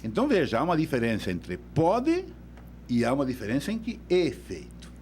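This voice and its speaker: Uruguayan-accented man, lively explaining tone. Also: medium pitch, fairly quick, a little hiss or hum. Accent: Uruguayan Spanish. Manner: didactic, repeats words while thinking. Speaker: law professor guest. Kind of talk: radio interview explanation. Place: radio studio.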